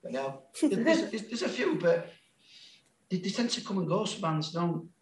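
A man's voice: sits high, lively intonation, moderate pace, reverberant, slight background noise. A man with a Sheffield accent, a guest on a podcast, chatting casually and self-deprecatingly, with lots of laughter and restarts. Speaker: guest, music label founder.

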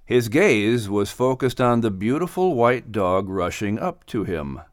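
A man working as announcer and narrator, giving animated scripted storytelling for children. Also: fairly low pitch, a little slow, dry recording, studio quality.

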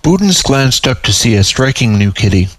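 A man's voice: medium pitch, very animated, normal speed, a bit bright, no room sound. A man narrating a piece of fiction, reading his own story aloud.

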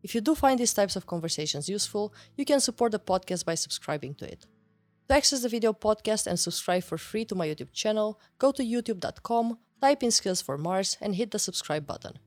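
A woman's voice: medium pitch, expressive, fairly quick, dry recording, soft background music. Woman podcast host, upbeat and courteous, dry studio sound. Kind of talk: scripted intro, then interview questions.

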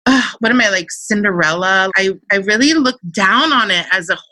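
A woman's voice: medium pitch, animated, moderate pace, pushed and bright, no echo. A woman, a podcast guest, telling personal stories in a chatty way with lots of filler words. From Central Virginia, USA. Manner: chatty storytelling, lots of "like" and "you know". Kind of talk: casual interview chat.

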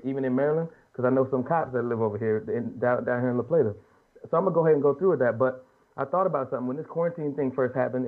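A man in his late thirties speaks quickly in an earnest, colloquial way, as if arguing a point among fellow panelists. His pitch sits in the middle for a man, and he often rephrases for emphasis. The audio is muffled and lo-fi. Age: late 30s. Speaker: podcast panelist. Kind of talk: casual opinionated panel talk.

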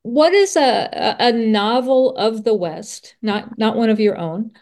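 A woman's voice: fairly high pitch, a little slow, darkish tone. A woman hosting a podcast interview, speaking informally and searching for words as she repeats herself. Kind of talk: conversational interview.

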